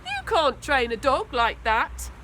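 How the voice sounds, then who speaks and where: Woman putting on a high, sneering boy bully. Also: wildly swooping pitch, slow pace, background noise, heavily acted. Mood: sniggering. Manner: leering, sniggering, taunting. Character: big sneering thirteen-year-old boy bully.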